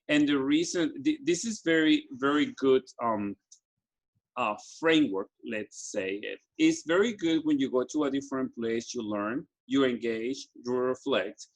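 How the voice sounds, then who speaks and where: Earnest Ecuadorian-born man, halting delivery. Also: mid-range voice. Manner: halting, frequent restarts and fillers, earnest. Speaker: business school program staff presenting study abroad. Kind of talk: presentation to students with slides.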